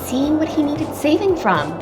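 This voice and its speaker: An actress playing a bold, cheeky, curious villager in an audio drama. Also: female voice, high voice, big pitch swings, normal speed, over music.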